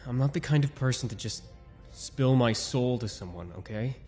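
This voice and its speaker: A man's voice: medium pitch, expressive, normal speed, mellow, soft background music, natural voice. A man voicing a grieving young soldier who is defensive and sarcastic. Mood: bitter.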